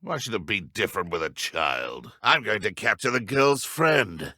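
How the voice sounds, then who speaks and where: An actor playing a smug, cold-blooded dark wizard henchman in a video game, with a British accent and a haughty, menacing manner. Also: on the low side, very animated, normal speed, no echo, studio quality, full character voice. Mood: scheming. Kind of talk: video game character voice lines.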